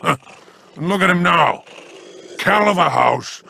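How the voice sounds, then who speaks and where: Gravelly smug rogue. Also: male voice, high-pitched, animated, unhurried, pushed and bright, no room sound, heavily acted. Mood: sly.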